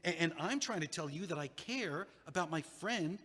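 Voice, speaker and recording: An actor playing a flustered middle-aged lawyer after a breakup, stammering, wordy and defensive, in an audio drama. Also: male voice, fairly high pitch, lively intonation, moderate pace, a bit bright, in a large hall. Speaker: attorney.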